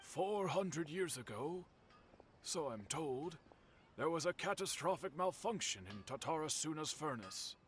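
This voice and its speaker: Veteran game blacksmith: slightly high male voice, slow formal delivery, grave storyteller, faint noise behind. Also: expressive. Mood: solemn. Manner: measured, formal, solemn. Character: older male master swordsmith, courteous, grave storyteller. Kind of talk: video game character acting.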